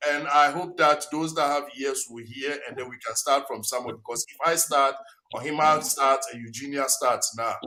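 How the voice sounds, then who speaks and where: Bright-voiced man hosting a panel. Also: medium pitch, moderate pace. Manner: lively, mixes in Twi phrases, animated quoting. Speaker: host. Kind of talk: panel discussion moderating.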